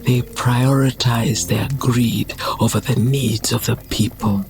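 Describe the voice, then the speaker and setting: A man's voice: medium pitch, fairly even intonation. A man playing a wise old clan elder, telling his story reflectively and solemnly in a motion comic. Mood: sorrowful. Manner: slow, reflective storytelling with breathy phrase endings. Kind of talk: dramatic narration in a motion comic. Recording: music behind the voice. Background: music.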